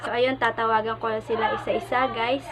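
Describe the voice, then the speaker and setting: A woman from the Philippines with a Filipino accent, chatting casually and in an animated, informal way as a vlogger. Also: a little high, normal speed. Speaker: vlogger, prank caller.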